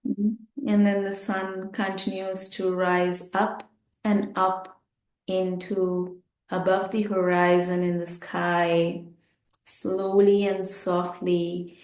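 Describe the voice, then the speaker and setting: A woman meditation teacher leading a guided meditation in short, gentle, unhurried phrases with pauses between them. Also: medium pitch, little pitch movement. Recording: roomy, echoing sound.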